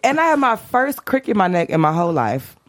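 Hoarse giggly woman co-hosting a podcast. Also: medium pitch, a little fast, no room sound, clean studio sound. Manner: giggly, animated. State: hoarse, losing her voice. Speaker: podcast co-host. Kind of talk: casual comedic chat.

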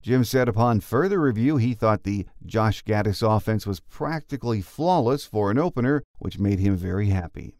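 A middle-aged or older man with a low voice hosts a sports show. The recording is dry and close, with the delivery of a talk host who also reads prepared bulletins. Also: animated, moderate pace, hardly any pauses, darkish tone, dry recording. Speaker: podcast host.

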